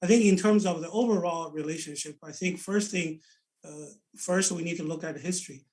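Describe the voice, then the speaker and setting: A man with a Chinese accent and a slightly high voice speaks earnestly in a panel discussion, often doubling his words as he gathers his thoughts. His voice sits a little back from the microphone, with some distance in the sound. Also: fairly quick, a little mellow. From China. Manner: earnest, repeats words while thinking. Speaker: senior advisor, panel guest. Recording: voice set back from the mic.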